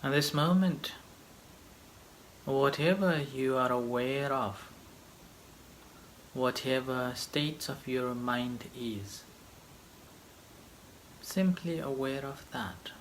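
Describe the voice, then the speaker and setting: Male meditation teacher, slow delivery, short phrases, long pauses, light background noise. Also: medium pitch, poor audio. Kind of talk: guided meditation, slow gentle instruction.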